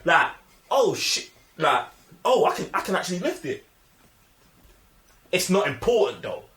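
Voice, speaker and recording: A man's voice: high-pitched, sing-song, unhurried, a bit bright. A man with a London accent co-hosting a film review in slangy, emphatic banter, often repeating himself to push his point. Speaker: film review podcast co-host. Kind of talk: animated casual banter, slangy. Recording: roomy, echoing sound.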